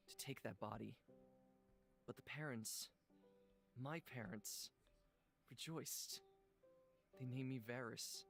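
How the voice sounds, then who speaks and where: A man's voice: medium pitch, vocal fry, a bit bright. Man playing a solemn, wistful ancient god. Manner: measured, solemn, reflective storytelling. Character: gentle ancient god in human body, wistful male. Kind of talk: visual novel voice acting. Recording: music behind the voice. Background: instrumental music.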